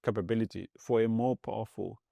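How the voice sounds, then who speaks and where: A man from Zambia, a tech executive, talking as a podcast guest in an animated way, often restarting and repeating words. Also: fairly low pitch, fairly quick, gravelly, no room sound, clean studio sound. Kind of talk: casual interview talk.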